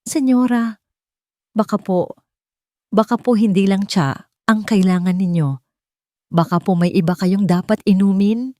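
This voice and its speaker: A woman's voice: mid-range voice, unhurried, pauses often, mellow, no echo, pitched above the performer's own voice, lightly acted. A woman voicing a worried, respectful longtime housemaid who speaks hesitantly in a narrated drama. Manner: hesitant, deferential.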